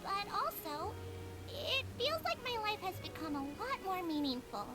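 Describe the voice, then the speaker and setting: Tiny earnest female creature; very high, singsong, eager. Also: very animated, normal speed. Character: small gentle female Melusine creature. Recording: loud game music behind.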